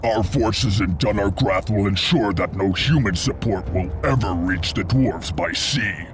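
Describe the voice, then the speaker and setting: An actor playing a confident orc warlord, measured and declarative, reporting in a video game cutscene. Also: male voice, sits low, background noise. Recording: music under the voice.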